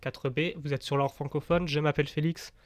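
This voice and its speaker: Higher-voiced man hosting, fairly even pitch. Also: a little fast, no echo. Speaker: radio interview host. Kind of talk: casual conversational interview.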